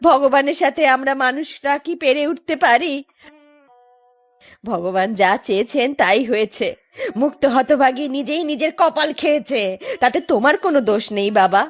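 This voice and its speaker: A woman's voice: sits high, animated, normal speed. A woman voicing a scheming, cajoling village mother who feigns sorrow in a cartoon story. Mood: coaxing. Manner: stammering, cajoling, lamenting. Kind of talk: Bengali cartoon story voice acting.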